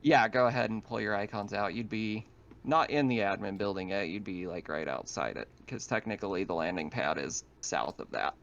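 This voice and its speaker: A man's voice: on the low side, moderate pace, vocal fry, fairly bright tone. A man casually narrating as game master of an online tabletop game, feeling his way through sentences. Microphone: online voice call. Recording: dull lo-fi voice chat.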